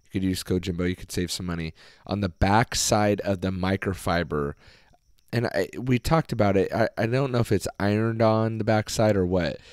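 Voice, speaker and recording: A man hosting a podcast, talking casually and hoarsely with his voice nearly gone, rambling with fillers and restarts. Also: low voice, normal speed, no echo, studio quality. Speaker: podcast host. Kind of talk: casual solo podcast talk.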